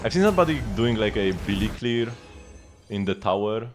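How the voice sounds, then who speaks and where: Guy streaming a game, lowish voice, rambling thinking out loud, game music in the back. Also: sing-song, fairly quick. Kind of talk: casual live gameplay commentary.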